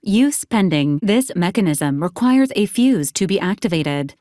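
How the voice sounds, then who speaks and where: A woman voicing a polite female robot companion in a video game, speaking in a formal, clipped, matter-of-fact manner with deadpan, analytical delivery. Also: mid-range voice, a little slow, no echo, studio quality, natural voice. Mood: dry, deadpan. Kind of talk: scripted video game character lines.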